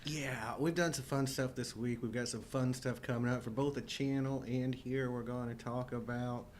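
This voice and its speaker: A man's voice: mid-range voice, fairly even intonation, normal speed, a little hiss or hum. A middle-aged man co-hosting a live show with casual, jokey, self-deprecating banter.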